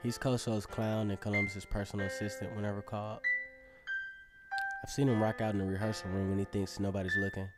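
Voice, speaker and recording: A man's voice: lowish voice, flat delivery, brisk, natural voice. An actor playing a cocky, dreamy teenage circus acrobat who narrates his own story in a casual, self-assured way for a radio drama. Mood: confident, musing. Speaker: narrator and lead character. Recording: music playing behind the voice. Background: instrumental music.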